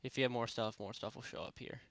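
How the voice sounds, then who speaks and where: A man narrating a software tutorial in a casual, unscripted, rambling way, speaking informally with lots of filler phrases. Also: medium pitch, fairly even intonation, fast talker, dry recording. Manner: rambling, informal, repeats "basically" and "you know". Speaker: tutorial presenter. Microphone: close headset microphone.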